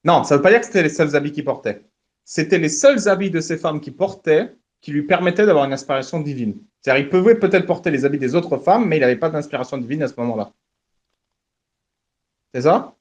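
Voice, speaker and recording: Host, male, slightly high pitch, jokey and loose, live online audio chat. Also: brisk, lo-fi sound. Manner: joking, thinks aloud, laughs often. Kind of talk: casual live audio-room discussion.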